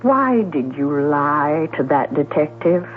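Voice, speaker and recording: An actress playing a gentle, earnest, questioning sister who prizes honesty, in a 1970s radio drama. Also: female voice, sits low, sing-song, slow, full character voice. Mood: troubled insistence. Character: Mary, earnest, gentle, truth-loving housebound sister. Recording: tinny, noisy old radio broadcast.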